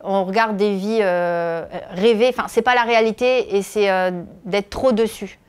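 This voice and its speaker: A woman's voice: medium pitch, fairly even intonation, moderate pace, a little mellow, clear, resonant tone. A woman in her thirties from northeastern France, a comedian answering interview questions, hesitant with many filler sounds. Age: 30s. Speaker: comedian and video creator.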